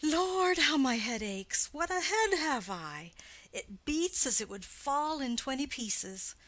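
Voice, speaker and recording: A woman's voice: high-pitched, lively intonation, slow, a bit bright, dry recording, heavily acted. A woman voicing a weary, complaining, teasing old nursemaid in a classical stage play reading, with an exclaiming, grumbling manner. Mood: aching, complaining.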